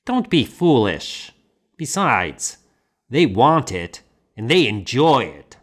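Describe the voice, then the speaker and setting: A hearty monk schoolmaster performed by a male audiobook reader, sure of himself and a little mocking. He speaks bluntly and with emphasis, at an unhurried pace. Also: medium pitch, big pitch swings, pitched above the performer's own voice. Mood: brisk, dismissive. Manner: blunt, emphatic, self-assured. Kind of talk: audiobook character dialogue.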